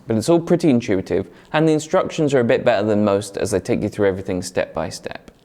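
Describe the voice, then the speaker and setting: A young man presenting a scripted product review in a clear, even, friendly manner. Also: mid-range voice.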